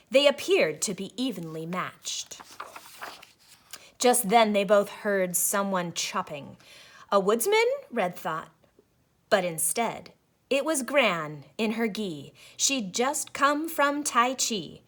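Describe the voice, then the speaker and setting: A woman teacher reading a children's storybook aloud in an expressive narrating style. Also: medium pitch.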